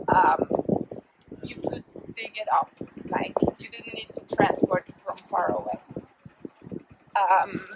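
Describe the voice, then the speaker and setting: Tour guide, woman, bright high voice that jumps around, halting delivery over loud street noise. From Norway. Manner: halting, many filler sounds, loose grammar. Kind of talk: guided walking tour talk. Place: outdoors at a city harbor.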